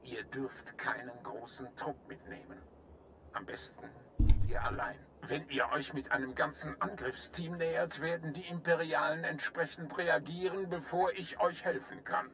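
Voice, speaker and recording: A man playing a grave, courteous alien general in a video game, speaking in a formal, measured, earnest way. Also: on the high side, fairly even intonation, noisy background, lightly acted. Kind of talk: German video game voice acting.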